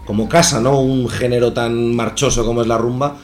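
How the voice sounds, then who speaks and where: A man, a musician guest talking about his record in a casual radio interview, lively and emphatic. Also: medium pitch, moderate pace, faint music behind. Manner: lively, emphatic, laughs easily. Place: radio studio.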